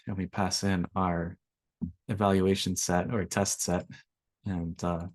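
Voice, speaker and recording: A young man, slightly raspy from allergies, walking through a coding workshop in a relaxed way with lots of ums. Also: low-pitched, dry recording. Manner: relaxed, filler-heavy explaining. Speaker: workshop instructor. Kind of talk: screen-share coding tutorial.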